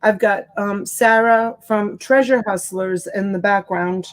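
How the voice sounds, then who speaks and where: A woman's voice: medium pitch, fairly even intonation, measured pace. Chatty Boston woman running a live sale. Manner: chatty, greets viewers by name with endearments. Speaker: live-stream seller and host. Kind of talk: casual live-stream auction chat. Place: home room with shelves. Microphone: desk USB mic.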